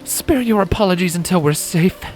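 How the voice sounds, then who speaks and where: A man's voice: sits high, moderate pace, soft background music, pitched above the performer's own voice, lightly acted. A man voicing a stern, stressed female unicorn in a storybook reading, with an urgent, curt manner. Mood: cold, curt. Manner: urgent, hushed, snapping.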